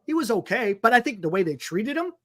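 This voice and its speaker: A man's voice: high-pitched, very animated, fairly quick, no echo, studio quality. An American man co-hosting a casual sports talk show, speaking in a blunt, colloquial way.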